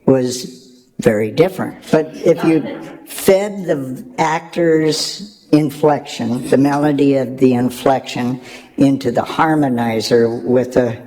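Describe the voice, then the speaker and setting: Slow-talking man explaining his craft. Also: medium pitch, dark tone. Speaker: sound engineer on a panel. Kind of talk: explaining technical work to an audience.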